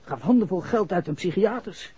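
A man's voice: high voice, animated, normal speed, mellow, breathy edge, dry recording, heavily acted. An actor playing a nervous, shifty young man under police suspicion, stammering slangy lines in a 1960s radio drama. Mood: defensive, uneasy. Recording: muffled vintage radio recording.

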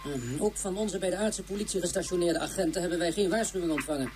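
A woman playing a cool, calculating senior mission commander in a radio drama, measured and formal. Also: fairly low pitch, a little mellow, faint music behind, lightly acted.

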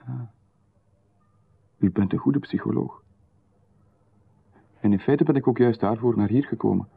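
A man's voice: mid-range voice, measured pace, creaky, breathy edge. An actor playing a pushy, overly familiar male stranger in a radio drama, insistent and ingratiating, with stammered restarts and little chuckles. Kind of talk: 1990s radio drama acting. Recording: lo-fi radio broadcast, phone-like band.

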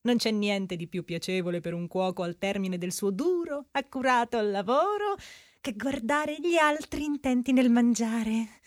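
A woman's voice: fairly high pitch, a little slow, no room sound, studio quality, natural voice. Radio theatre part: fawning, eager cook played by a woman, theatrical and given to exclamation. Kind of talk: theatrical radio drama with cabaret songs.

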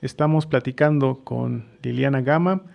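A man's voice: medium pitch, moderate pace, gravelly, lo-fi sound. A Mexican man hosting a conversational radio interview. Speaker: radio host.

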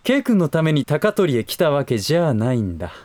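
Give this to a smooth, scheming gentleman of business with a fairly high voice. He speaks softly polite words with a teasing, condescending edge, and his pitch swings freely as in a polished audio drama. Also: big pitch swings, brisk, no echo. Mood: amused, provocative. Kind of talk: Japanese voice drama acting.

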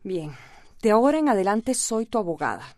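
An actress with a Latin American accent playing a firm, decisive lawyer in a radio soap opera, probing and authoritative. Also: female voice, mid-range voice, sing-song, brisk, darkish tone, dry recording, natural voice. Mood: decisive, businesslike.

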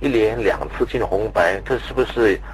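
A man's voice: fairly low pitch, moderate pace. A man asking questions in a conversational radio interview, polite and deferential in his phrasing. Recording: faintly noisy radio interview recording.

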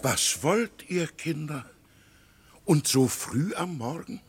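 An actor playing a frail, gentle old village pastor in a radio drama, anxious and musing, hesitant and sometimes sinking toward a whisper. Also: male voice, a little high, animated, slow, husky, faint music behind, heavily acted. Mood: kindly, animated. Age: older. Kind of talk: German radio drama acting.

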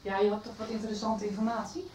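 A woman's voice: mid-range voice, little pitch movement, fast, a little mellow, soft background music, natural voice, low-quality recording. An actress in a radio soap playing a curious, thoughtful woman with a hesitant, questioning manner. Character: curious, thoughtful woman following a book mystery. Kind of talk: 1990s radio soap acting.

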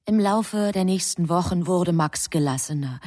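A woman playing a heavenly messenger who narrates human affairs in a radio drama, in a measured, literary manner. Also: on the low side, warm tone, lightly acted. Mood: measured, reflective. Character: female heavenly messenger calmly recounting human love affairs.

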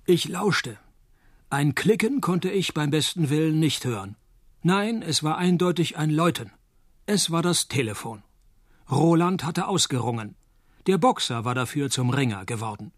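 An actor playing a hard-boiled, laconic private detective, delivering deadpan noir radio play narration and dry wit. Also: male voice, a little high, moderate pace, dry recording. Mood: dry, wry. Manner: deadpan, wry.

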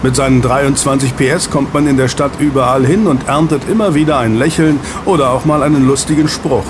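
Guy acting a friendly snack bar boss in an audio play, soothing, explains stuff, clear traffic noise. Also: mid-range voice, steady tone, a little fast, lightly acted. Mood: proud, chatty. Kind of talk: German audio drama dialogue. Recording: clear street and traffic ambience. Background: outdoor city street with vehicles.

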